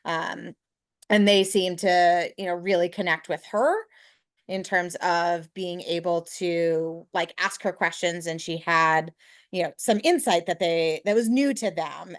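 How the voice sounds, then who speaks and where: A woman giving a casual report on a video call, measured and hesitant, with many fillers. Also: fairly low pitch, no echo, clean studio sound.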